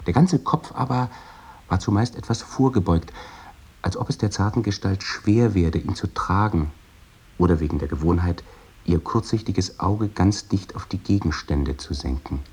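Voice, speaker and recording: A male reciter of old memoirs in a radio drama. His voice is deep and rough-grained, with a husky edge. The delivery is measured and reflective, shaped around ornate, old-fashioned literary sentences. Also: a little mellow. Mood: admiring, descriptive.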